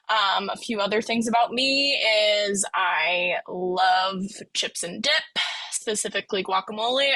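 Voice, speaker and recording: A woman's voice: medium pitch, sharp tone, no echo, poor audio. A woman working as a student success advisor, hosting an online info session in an upbeat, chatty way with frequent ums.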